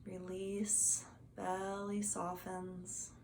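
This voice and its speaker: A woman gently guiding a meditation as a teacher, in a soothing, soft and unhurried manner. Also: on the low side, completely flat delivery. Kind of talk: guided meditation instruction. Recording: faint background noise.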